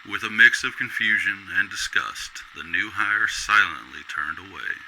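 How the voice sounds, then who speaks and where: A man's voice: low-pitched, lively intonation, a little slow, sharp tone, slight background noise, poor audio. A man narrating a scripted radio drama as a storyteller.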